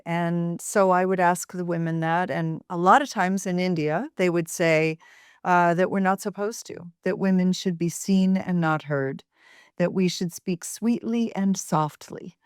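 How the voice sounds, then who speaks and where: An American woman, a voice professor being interviewed, with a fairly deep voice, speaking thoughtfully with pauses and fillers. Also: clean tone, no room sound, clean studio sound. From United States. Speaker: voice and speech professor, guest. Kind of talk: interview answers.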